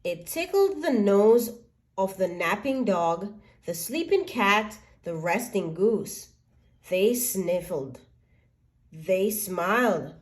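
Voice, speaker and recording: A woman reading a children's story aloud as an expressive narrator. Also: mid-range voice, takes it slowly.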